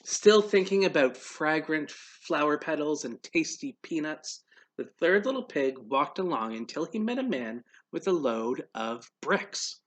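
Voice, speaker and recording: Guy reading a kids story out loud, slow n really animated, kinda higher voice, quizzes listeners. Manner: pauses to quiz young listeners. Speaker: read-aloud storyteller for children. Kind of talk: expressive storybook narration.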